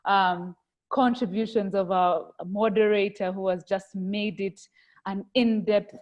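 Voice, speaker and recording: A woman's voice: mid-range voice, darkish tone. Brief: grateful Kinyarwanda-native woman wrapping up a webinar, effusive, laughing lightly. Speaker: event organizer giving closing thanks. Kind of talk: closing remarks on a video call. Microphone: video call.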